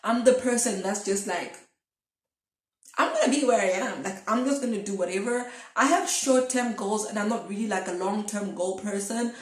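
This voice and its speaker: A woman's voice: mid-range voice, normal speed. Young woman vlogging in echoey room. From Zimbabwe. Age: twenties. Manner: rambling, thinking aloud, self-questioning. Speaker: video blogger. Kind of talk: casual confessional chat to camera.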